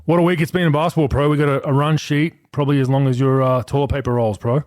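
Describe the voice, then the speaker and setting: A man in his late thirties hosting a podcast, chatting about sport in a relaxed, loose way. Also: medium pitch, fast talker, no room sound. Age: 30s. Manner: relaxed, chatty, frequent restarts. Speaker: podcast host. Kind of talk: casual sports chat.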